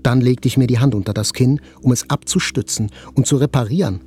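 An actor playing an attack survivor, telling events in a sober, precise, scripted literary narration. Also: male voice, mid-range voice, brisk, warm tone, faint music behind, lightly acted. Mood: sober, detached.

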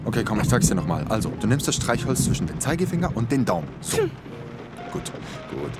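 A man's voice: mid-range voice, big pitch swings, a little fast, over loud music, lightly acted. A man playing an eager, friendly young circus magician in a radio drama, speaking casually. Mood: instructing.

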